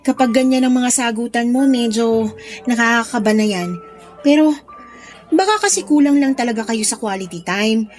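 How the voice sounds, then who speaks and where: A woman's voice: a little high, expressive, normal speed, a little mellow, faint music behind, close to an everyday voice. A woman with a Filipino accent playing a supportive, curious young best friend in a radio drama, teasing and reassuring.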